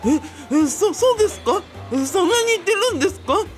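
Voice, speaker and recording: A woman plays a polite young boy with a very high voice. He stammers and whines, easily flustered, in the manner of a comic radio drama. Faint music runs behind him. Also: expressive, fairly quick. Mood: flustered whining. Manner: stammering, whining polite speech.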